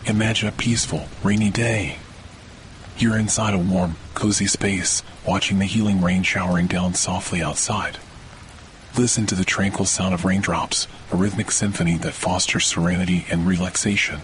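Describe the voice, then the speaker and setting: Very deep-voiced man guiding a sleep meditation. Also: fairly even intonation, noisy background. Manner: slow, soothing, hushed delivery. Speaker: meditation guide. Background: faint rain sounds.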